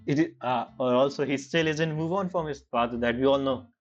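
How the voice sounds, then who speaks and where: A woman's voice: a bass voice, fairly quick. A woman who is not a native English speaker, giving casual, hesitant, reactive commentary as she streams a game. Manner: chatty, reactive, hesitant phrasing. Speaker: gaming streamer. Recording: slightly dull mic, game music behind.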